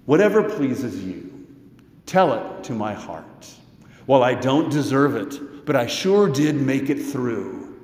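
A middle-aged or older American man preaching as a pastor, measured and deliberate, with pauses and rhetorical questions. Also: medium pitch, very animated, a little mellow. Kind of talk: sermon. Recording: echoey church hall with long reverb.

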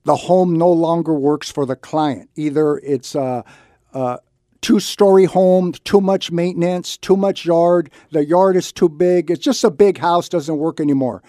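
A man's voice: fairly high pitch, a little slow, mellow, no room sound, studio quality. A man hosting a radio talk segment as a loan officer, explaining things conversationally in short, repeated, emphatic phrases. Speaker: radio show host and reverse mortgage loan officer.

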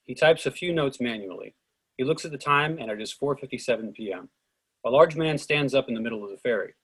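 A man narrates a script's stage directions in short declarative sentences. His voice sits in a middle register with a husky, slightly rough grain. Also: medium pitch, brisk, a bit bright, rough recording.

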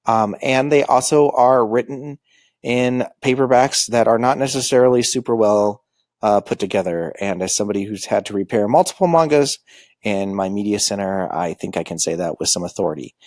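Guy teaching a lesson, lowish voice, lots of ums, dry sound. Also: a little mellow, no echo. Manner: halting, many ums and uhs. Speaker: school librarian teaching manga basics. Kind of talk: informal lecture.

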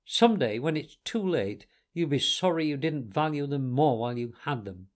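A man's voice: mid-range voice, lively intonation, normal speed, close to an everyday voice. A man voicing a cross male water rat who lectures and reproaches his friend. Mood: reproachful.

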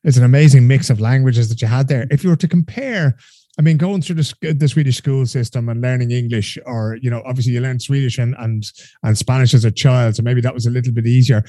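A man's voice: medium pitch, fast, mellow, no echo, clean studio sound. A man hosting a podcast, asking a guest friendly, easygoing questions. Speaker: podcast host. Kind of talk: interviewing a guest.

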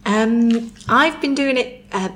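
A woman's voice: a little high, takes it slowly, fairly bright tone. Hesitant woman. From Manchester, England. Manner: hesitant, many ums. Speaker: prize-winning photographer guest. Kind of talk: casual interview answers.

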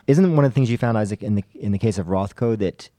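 Guy on a podcast, mellow and dark voice, close dry sound, just chatting and telling stories. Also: lowish voice, fast, no room sound. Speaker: podcast panelist. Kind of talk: casual discussion and anecdote.

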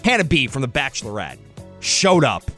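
A man's voice: mid-range voice, very animated, normal speed, pushed and bright, soft background music. A man speaking as a radio host, trading jokes and exclamations in a wisecracking, bantering manner.

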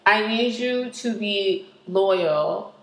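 A woman in casual banter, teasing and wry, with quick deadpan jokes. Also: mid-range voice, reverberant, rough recording.

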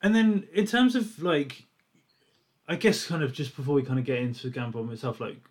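Male interviewer, mid-pitched, casual, hesitant questions. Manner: halting questions full of fillers.

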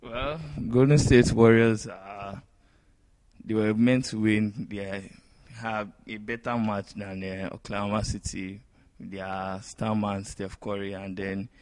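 Slow, halting man discussing sports. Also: fairly low pitch, level tone, a little mellow, no room sound. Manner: halting, pieces sentences together in short phrases. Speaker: podcast co-host giving match analysis.

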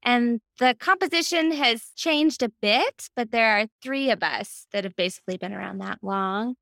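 A woman's voice: a little high, moderate pace, creaky, fairly bright tone, no room sound, clean studio sound. A woman speaking as an interview guest, explaining her experience in a reflective, conversational way. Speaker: podcast guest, book editor.

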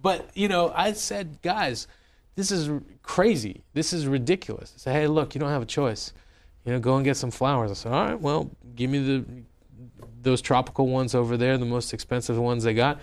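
A man's voice: medium pitch, moderate pace. A man, a journalist and author, recounting his experiences in a wry, conversational way with many fillers and restarts. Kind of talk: interview, personal storytelling.

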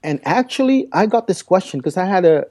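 Male podcast guest, Dutch first language, mellow and halting. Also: high voice, animated. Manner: hesitant, repeats words while searching for phrasing. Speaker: guest speaker. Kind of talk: podcast interview.

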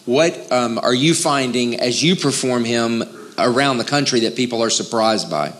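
A man asks interview questions in an easygoing, relaxed way. His voice sits at a middle pitch. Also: moderate pace.

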